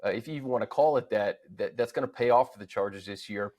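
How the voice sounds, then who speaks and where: A man hosting a sports show, offering conversational analysis in a measured way, pausing to pick his words. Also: on the low side, darkish tone.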